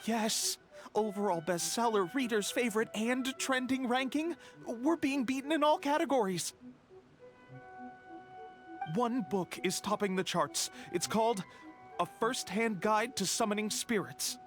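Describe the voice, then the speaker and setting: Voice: mid-range voice, a little slow, faint music behind, natural voice. An actor voicing a dutiful, deferential publishing employee in a video game, formal and businesslike. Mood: earnest, reporting.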